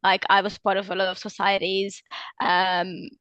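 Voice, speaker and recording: A woman's voice: medium pitch, monotone, moderate pace, fairly bright tone, no echo, highs cut off. A woman in her twenties from Hungary, speaking, answers interview questions casually and hesitantly, with many ums and self-corrections. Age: 20s. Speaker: alumna interviewee.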